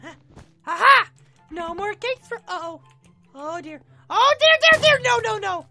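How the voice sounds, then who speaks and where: A woman playing an excitable, easily flustered young cartoonish heroine in a Minecraft roleplay, exclaiming and repeating her phrases. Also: very high-pitched, measured pace, over music, exaggerated voice. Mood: flustered muttering. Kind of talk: Minecraft roleplay gameplay acting.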